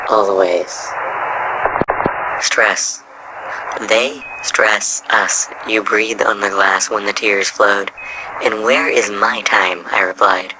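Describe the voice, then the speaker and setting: An actor playing a lonely, melancholic space drifter, speaking poetic audio logs in solemn, halting phrases for a game. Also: low voice, steady tone, noisy background. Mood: desolate. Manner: slow, solemn, halting delivery in short broken phrases.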